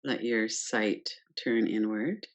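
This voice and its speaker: A woman teaching guided meditation, speaking calmly and gently in short phrases with pauses between them. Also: very deep voice, muffled recording. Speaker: yoga nidra meditation teacher. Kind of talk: guided meditation, unscripted instruction.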